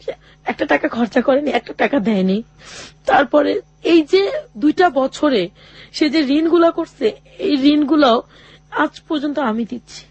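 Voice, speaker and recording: A woman's voice: high-pitched, animated, darkish tone, no room sound, muffled recording. Earnest woman telling her life story. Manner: halting and earnest, often quoting others. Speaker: interview guest. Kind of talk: personal life story told in an interview.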